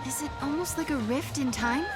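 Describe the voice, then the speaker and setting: An actress playing a gentle, thoughtful young heroine in a video game cutscene. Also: female voice, high-pitched, fairly quick, loud music behind the voice, lightly acted.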